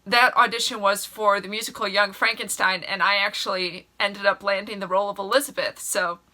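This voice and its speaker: A woman's voice: mid-range voice, fairly even intonation, fairly quick, pushed and bright, faint music behind. A woman telling a personal anecdote about landing a musical role. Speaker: fan and performer. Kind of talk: casual storytelling.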